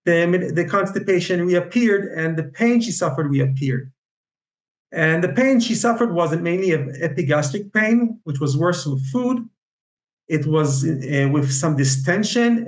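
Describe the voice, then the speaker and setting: A man with an Israeli accent giving an online lecture on Chinese medicine, speaking haltingly with many fillers and restarts. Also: a little high, reverberant, poor audio. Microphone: video call.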